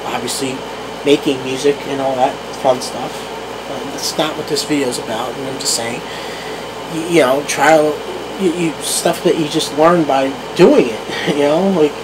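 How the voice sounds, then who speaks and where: A young man from New Jersey with a central New Jersey accent, rambling casually to his camera in a solo vlog. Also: medium pitch. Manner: rambling, with many restarts and fillers, laughing at himself. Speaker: vlogger. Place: room at home, talking to a camera on a tripod. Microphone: camera microphone. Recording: noisy home camera recording.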